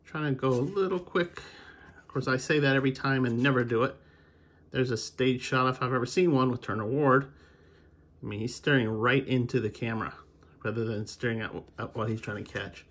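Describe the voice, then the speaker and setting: Slightly husky American man chatting casually. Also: medium pitch, brisk, fairly bright tone. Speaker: video host, baseball card collector. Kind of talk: casual unscripted chat, commentary while opening card packs.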